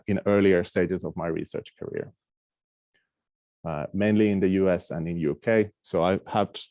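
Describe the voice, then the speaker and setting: A man's voice: on the low side, a little mellow, dry recording, clean studio sound. A middle-aged man from Finland with a Finnish accent, giving an academic lecture over a video call, hesitant with many fillers and restarts. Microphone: video call microphone.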